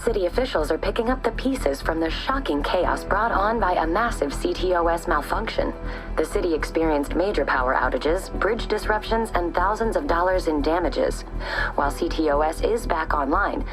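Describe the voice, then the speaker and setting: A composed news reporter, played by a woman, with a slightly low voice over faint music. She reads formally and evenly paced, like a bulletin inside a video game. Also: animated, lightly acted, rough recording. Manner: formal, measured announcer delivery.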